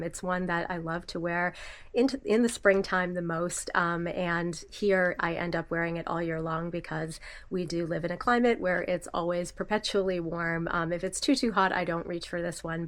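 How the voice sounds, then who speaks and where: A woman with a slightly stuffy nose from a cold talks through her perfume favorites, soft and gushing, unhurried with plenty of "um"s. Her voice sits a little low for a woman. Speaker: fragrance vlogger. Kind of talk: casual product review.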